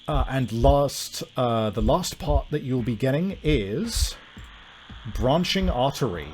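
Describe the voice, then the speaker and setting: A man narrating a horror tabletop game as game master, describing things vividly with hesitant pauses. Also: mid-range voice, expressive, a little mellow. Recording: music bed behind the voice.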